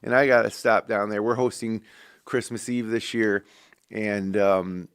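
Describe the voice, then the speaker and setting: A middle-aged man hosting a local studio talk show, relaxed and conversational with plenty of ums and uhs. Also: lowish voice, unhurried, smooth, no echo. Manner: relaxed, conversational with many fillers. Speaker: host.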